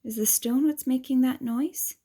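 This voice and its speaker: A woman reads a children's picture book aloud in a gentle, explanatory way, asking questions and adding asides for young listeners. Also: sits high, fairly even intonation, normal speed, slight background noise.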